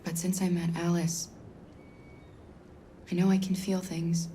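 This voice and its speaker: Gentle protective android guardian for a story game played by a woman: muted, mellow delivery, very even intonation, faint ambient noise. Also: on the low side, a little slow, close to an everyday voice. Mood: tender, earnest. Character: gentle, protective female android caring for a child. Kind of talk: cinematic video game acting.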